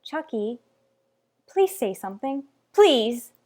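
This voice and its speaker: A woman's voice: fairly high pitch, big pitch swings, takes it slowly, a little mellow. A woman playing an anxious, clinging mother in an old-fashioned radio soap opera, speaking in an earnest, emotional way. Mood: overwrought, doting.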